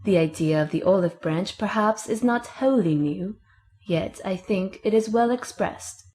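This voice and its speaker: Woman acting a mellow, priggish young bookworm. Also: mid-range voice, close to an everyday voice. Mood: earnest, pedantic. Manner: measured, pedantic. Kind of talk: dramatized classic novel reading.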